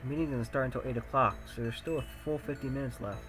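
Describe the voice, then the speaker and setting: A man's voice: medium pitch, steady tone, quick pace, fairly bright tone, lightly acted. Man playing an earnest teenage schoolboy, music behind. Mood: nervous, hopeful. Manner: self-questioning, musing aloud. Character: anxious, earnest teenage high-school boy narrating his thoughts. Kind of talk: first-person visual novel narration, fan dub.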